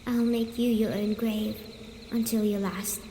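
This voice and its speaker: A woman's voice: mid-range voice, level tone, unhurried, mellow, reverberant, in a large hall, a little hiss or hum, close to an everyday voice. A woman voicing the menacing, commanding ghost of a grown woman in an ASMR horror story. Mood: commanding, threatening. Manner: commanding, eerie.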